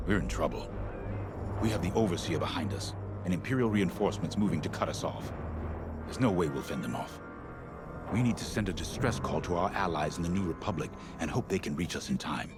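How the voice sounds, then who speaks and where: An actor playing a grave, plain-spoken rebel fleet commander giving a steady briefing in a game. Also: male voice, low voice, fairly quick. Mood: grave, urgent. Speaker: military commander. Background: music.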